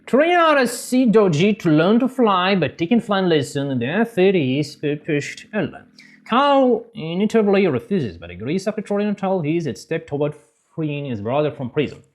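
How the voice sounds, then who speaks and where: A man hosting a gaming channel, recapping a game's story in halting non-native English and breaking off for joking asides. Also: sits high, sing-song. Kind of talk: game story recap with commentary.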